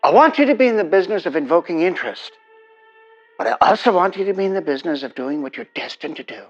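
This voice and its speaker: An actor playing a domineering, wealthy 1950s presidential candidate, pitching grandly and persuasively over a telephone. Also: male voice, animated, fast. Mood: grandiose. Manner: grandiose, persuasive salesman patter. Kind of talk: audio drama acting, telephone dialogue. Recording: dull telephone-style sound, faint music.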